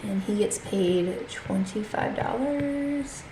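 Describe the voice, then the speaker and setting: A woman's voice: medium pitch, takes it slowly, reverberant, noisy background. A congested woman hosting her own channel, chatting casually as she walks through her budget and reads out figures.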